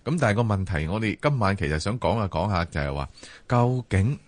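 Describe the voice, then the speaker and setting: A man's voice: on the low side, animated, fairly quick, no room sound. A man with a Hong Kong Cantonese accent co-hosting a casual late-night radio discussion, talking colloquially with frequent exclamations. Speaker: radio talk show co-host.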